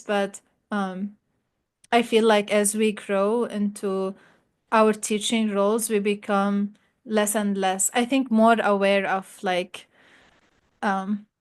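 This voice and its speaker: A woman interviewing a fellow graduate student, chatty and full of "like" fillers. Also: medium pitch. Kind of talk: casual interview.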